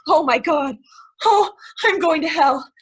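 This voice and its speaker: An actress playing an agitated, guilt-ridden accomplice to murder in a dramatic stage play reading, emphatic and repetitive. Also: female voice, sits high, unhurried. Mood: distraught, guilty. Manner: agitated, emphatic, repeating herself.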